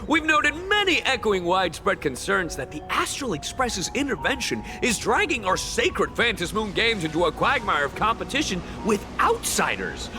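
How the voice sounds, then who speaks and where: A man's voice: sits high, wildly swooping pitch, normal speed, hardly any pauses, exaggerated voice. An actor playing a chipper, pushy male street reporter in a video game, with a bubbly presenter delivery. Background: game music.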